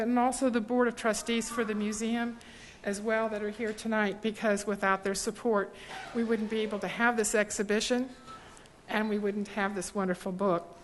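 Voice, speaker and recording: A woman giving formal thanks at a public meeting, speaking warmly with frequent ums and small restarts. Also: medium pitch, slight background noise. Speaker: presenter thanking volunteers. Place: large meeting hall. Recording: long hall reverb.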